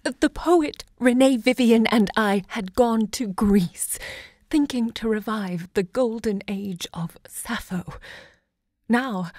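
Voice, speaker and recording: An actress playing a self-assured, romantic literary hostess and seductress in an audio drama, theatrical and eloquent in delivery. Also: female voice, fairly high pitch, no room sound. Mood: grand, visionary.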